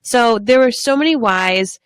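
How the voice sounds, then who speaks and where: A woman co-hosting a TV recap podcast, chatty and excitable, sounding stuffy from a cold. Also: smooth, dry recording, clean studio sound. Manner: chatty and excitable, quick bursts of high pitch. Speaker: podcast co-host. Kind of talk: casual TV recap chat.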